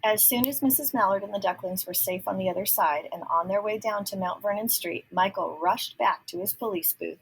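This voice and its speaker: A woman reading a story aloud to young children, pausing to explain words and ask questions. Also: medium pitch, a little hiss or hum, low-quality recording. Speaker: picture book reader.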